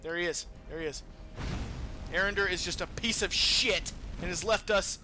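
A man's voice: high voice, normal speed, noisy background. A man giving casual, profane gameplay commentary as a let's play host. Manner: profane, animated trash-talk.

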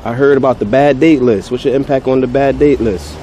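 A man's voice: mid-range voice, steady tone, fairly quick. A man conducting a casual street interview, speaking informally and checking back on answers. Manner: informal, echoes answers, frequent "yeah". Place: outdoors on a city street, off camera. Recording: outdoor street noise, passing vehicles.

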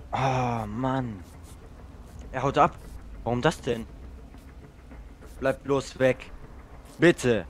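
Young man, casual gamer chat, excitable, yelps under pressure, light background hiss. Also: mid-range voice. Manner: excitable, swearing, yelps when chased. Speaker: gamer co-op player. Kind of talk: casual let's play chat.

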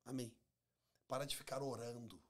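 A man's voice: medium pitch, steady tone, moderate pace, fairly bright tone. A Brazilian man in his forties, a pastor talking in a conversational preaching style, emphatic, repeating phrases and acting out bits of dialogue. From Minas Gerais, Brazil. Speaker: pastor, podcast guest. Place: small podcast studio. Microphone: close studio microphone on table stand.

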